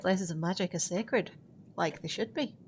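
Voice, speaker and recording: A woman's voice: on the low side, moderate pace, breathy edge. A woman acting a mysterious, courteous witch hostess in an audio drama. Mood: knowing.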